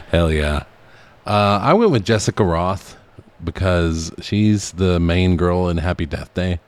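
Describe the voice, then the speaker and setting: A man's voice: sits low, lively intonation, takes it slowly. A man co-hosting a podcast, chatting casually about movies with frequent chuckles and swearing. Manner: chuckles often, profane. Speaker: podcast host.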